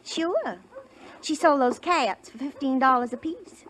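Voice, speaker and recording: A woman's voice: sits high, animated, measured pace, a bit bright. An actress playing a chatty, folksy young saloon girl with a rural American drawl in a radio western. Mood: gossipy. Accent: Southern or Western American. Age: young adult. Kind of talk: 1950s radio western acting. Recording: noisy 1950s radio recording.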